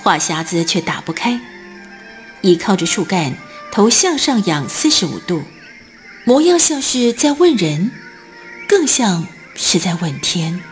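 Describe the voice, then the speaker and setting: Animated woman narrating a drama. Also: medium pitch, faint music behind. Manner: expressive, deliberate phrasing. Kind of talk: scripted dramatic storytelling narration.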